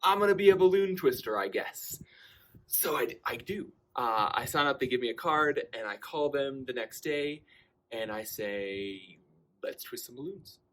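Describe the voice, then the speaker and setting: A young man with a mid-pitched voice tells a personal story. His delivery is casual and conversational, like someone sharing an anecdote with a group, and he acts out the dialogue as he goes. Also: a little slow. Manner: animated delivery, acting out quoted dialogue.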